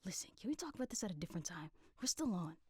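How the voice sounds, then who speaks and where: A woman as a breathy, harried radio producer. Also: fairly low pitch, sing-song, very fast, dry recording, studio quality, heavily acted. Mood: urgent, hushed. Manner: urgent, hushed. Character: harried, hushed radio producer interrupting the announcer.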